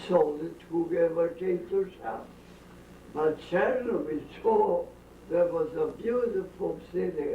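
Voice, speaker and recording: An elderly woman, slowly telling rambling family stories, drifting off topic and voicing blunt opinions. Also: lowish voice. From Bukovina, then Austria. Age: older. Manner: slow, halting, drifting off topic with strong opinions. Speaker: interviewee. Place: family home during a dinner gathering. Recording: roomy, distant, dull lo-fi home tape with faint hiss.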